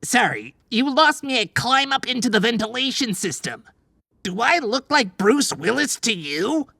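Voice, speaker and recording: Woman playing a creaky, grouchy male rocket scientist. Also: on the high side, sing-song, normal speed, pushed and bright, dry recording, studio quality, heavily acted. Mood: sarcastic, protesting. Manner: exasperated, snarky. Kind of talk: audiobook drama acting.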